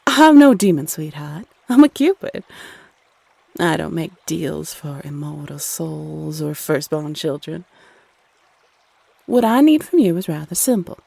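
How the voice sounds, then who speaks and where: Female Cupid, played by a woman, roleplay audio: sultry, playfully condescending, creaky and husky, lingering over phrases. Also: deep voice, very animated, darkish tone, dry recording, heavily acted. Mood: playful, matter-of-fact. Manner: sultry, coy, teasing, drawn-out phrasing. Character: teasing, flirty female Cupid spirit, playfully condescending to humans. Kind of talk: intimate roleplay audio acting.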